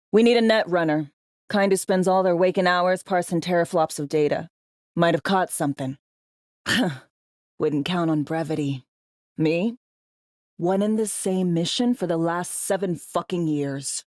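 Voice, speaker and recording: A woman's voice: fairly low pitch, a little mellow, no echo, studio quality, natural voice. A woman playing a brash, foul-mouthed, world-weary female spy in a video game, talking in clipped, slangy, sardonic lines. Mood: wry, sardonic. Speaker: undercover field agent in a sci-fi game. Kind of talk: video game character dialogue.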